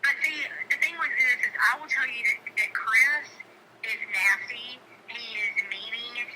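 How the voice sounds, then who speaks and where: A middle-aged woman talking casually on a phone call, insistent and emphatic, repeating phrases for stress. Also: medium pitch. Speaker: local neighbor caller. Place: telephone call. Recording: noisy phone call recording.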